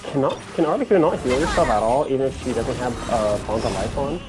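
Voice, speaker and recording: A man's voice: mid-range voice, animated, quick pace, over music. A man giving casual, informal commentary as he livestreams a video game, thinking aloud as he plays.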